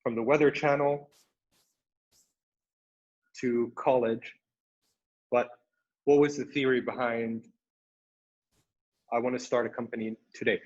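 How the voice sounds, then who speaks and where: A man's voice: mid-range voice, little pitch movement, measured pace, long pauses, darkish tone. Hesitant male host, dull audio. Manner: hesitant, many fillers and restarts. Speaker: podcast host. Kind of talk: interview questions.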